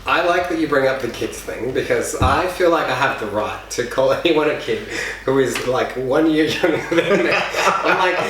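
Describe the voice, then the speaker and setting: A young man hosts a casual podcast with a relaxed, joking manner. His mid-range voice sounds distant and roomy, with faint noise under it. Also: mid-range voice, moderate pace, few pauses. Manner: easygoing, joking, laughs often. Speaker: podcast host. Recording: echoey room, distant mic, faint noise.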